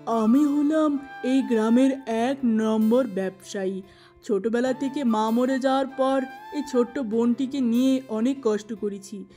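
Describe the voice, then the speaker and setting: Woman as a mellow, confident businessman. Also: a little high, a little slow, soft background music, lightly acted. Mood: proud. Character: self-made, confident young village businessman suitor.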